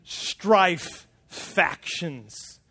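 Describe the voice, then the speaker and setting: A man with a high, light voice preaches to a congregation. He speaks in an earnest way and often stumbles over repeated words as he starts a new point. He wears a wireless lapel mic. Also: drawn-out words, creaky, pushed and bright, breathy edge. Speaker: pastor. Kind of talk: sermon. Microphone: wireless lapel mic pack.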